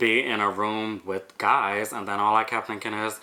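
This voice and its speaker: A young man from Brooklyn with a high-pitched voice, talking in a laid-back, reflective way as co-host of a casual chat. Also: flat delivery, moderate pace, pushed and bright, poor audio. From Brooklyn, New York.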